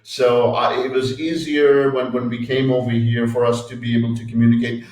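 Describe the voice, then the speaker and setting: A man from Canada chatting as a guest, animated and restarting his sentences often. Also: medium pitch, normal speed, heavy reverb, rough recording. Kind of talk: casual interview chat.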